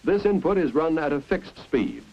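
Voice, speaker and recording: An American man reading scripted 1950s instructional film narration in a clear, deliberate, explanatory manner. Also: on the high side, very animated. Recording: dull, band-limited 1950s film soundtrack.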